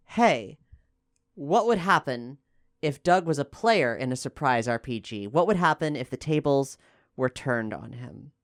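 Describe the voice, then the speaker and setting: A man's voice: on the high side, smooth, no room sound, clean studio sound. A man hosting a casual podcast discussion, explaining things in a chatty, conversational way. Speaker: podcast host and player.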